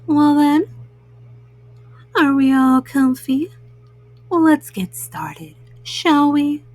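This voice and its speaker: A woman hosting children's storytime, reading gently and speaking soothingly and directly to young listeners. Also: sits high, expressive, very slow, no echo.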